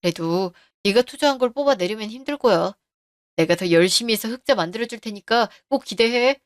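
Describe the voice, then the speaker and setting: A woman's voice: fairly high pitch, lively intonation, a little fast, no room sound, clean studio sound, close to an everyday voice. A woman voicing a scheming middle-aged husband who brushes off his wife's worries, in a radio drama. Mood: smug.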